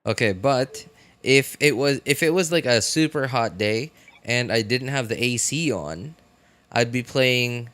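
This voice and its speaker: A man live streaming a video game, chatting casually and thinking aloud in a halting way. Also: medium pitch, fairly bright tone, no echo. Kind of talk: casual live stream chat while gaming.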